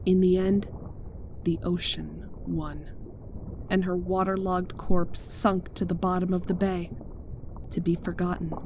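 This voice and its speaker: A woman reading a tragic fan fiction story aloud in a somber, slow narration that drops to near-whispers. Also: fairly low pitch, background noise. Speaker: fanfic reader. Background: soft guitar music.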